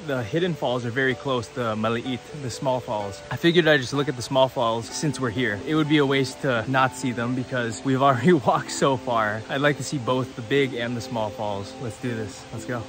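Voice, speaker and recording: A young man in his twenties, a Tagalog native speaker, vlogging to a phone held at arm's length outdoors in a tropical forest, upbeat and laughing often. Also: medium pitch. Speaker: travel vlogger. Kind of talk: casual travel vlog to camera.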